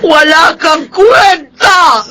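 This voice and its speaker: A woman's voice: very high voice, very slow, over loud music, full character voice. An actress with a Filipino accent playing a grieving wife and mother, accusing and scolding in anguish in a radio drama. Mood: furious anguish.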